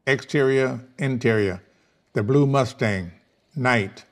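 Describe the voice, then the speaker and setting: A man's voice: medium pitch, slow. A man reads screenplay stage directions aloud as narrator. His voice sits in a middle register, delivering scripted scene descriptions.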